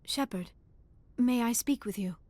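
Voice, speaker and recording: An actress playing an earnest, gentle alien scientist in a video game, with formal, careful phrasing. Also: female voice, fairly high pitch, a little slow, no echo. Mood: earnest, tender. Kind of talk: video game cutscene acting.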